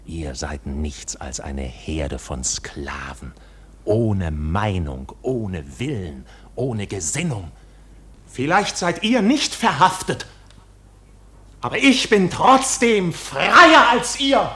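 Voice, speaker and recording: Proud accused bank officer: sharp, highly animated, defiant radio drama delivery. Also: male voice, slow pace, full character voice. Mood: shouting defiance. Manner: theatrical, accusing, indignant. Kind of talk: German radio play acting.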